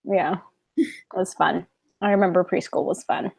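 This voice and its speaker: A woman co-hosting a casual book club livestream, relaxed and chatty, with lots of "like" in her talk. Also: fairly low pitch, moderate pace, vocal fry. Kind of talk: casual chat.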